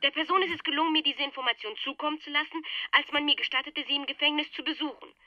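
A woman plays a polite, persuasive official in a radio drama. Her voice is high and quick, with formal phrasing. It sounds dry and dulled, as over a telephone. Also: no room sound, muffled recording, lightly acted. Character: smooth-talking, formal female aid official running a scam.

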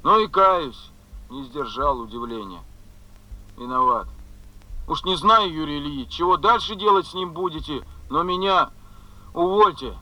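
An actor playing a put-upon housing official in a radio play, grumbling with indignant, sarcastic exasperation. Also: male voice, a little high, animated, unhurried, long pauses, sharp tone, exaggerated voice, poor audio. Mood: exasperated. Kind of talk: Soviet radio play acting.

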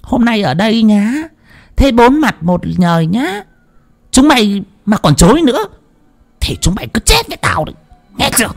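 A man voicing a shrill, vengeful female spirit that has possessed a woman, shrieking threats in a husky voice. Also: big pitch swings, moderate pace, long reverb tail, faint music behind, exaggerated voice. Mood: menacing shriek.

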